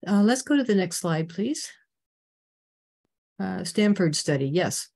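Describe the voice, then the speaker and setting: An older woman from Canada giving an earnest, informative slide talk on a video call, clearing her throat now and then. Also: mid-range voice, expressive, a little slow, a little mellow. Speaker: presenter.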